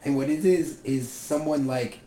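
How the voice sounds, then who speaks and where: A young man chatting casually about movies, swearing often and ranting with animated energy. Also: fairly high pitch, normal speed. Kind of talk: casual chat. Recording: echoey room with faint ambient noise.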